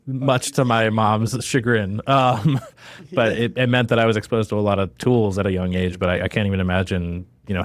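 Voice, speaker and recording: A man's voice: fairly low pitch, dry recording. A man hosting a podcast, chatting casually and enthusiastically, often breaking off to rephrase as he goes. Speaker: podcast host. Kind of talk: casual chat, interviewing.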